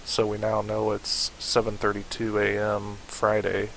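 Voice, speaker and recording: A man narrating a casual software tutorial, informally thinking aloud as he demonstrates, in a hissy home recording. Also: lowish voice, completely flat delivery, a little slow, gravelly, rough recording. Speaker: tutorial host.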